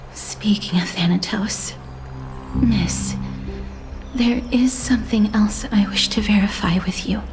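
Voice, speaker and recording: An actress playing a gentle, solemn young woman cursed by death in a video game, speaking softly and formally with measured courtesy. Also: medium pitch, lightly acted. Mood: hesitant, earnest. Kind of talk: scripted video game dialogue.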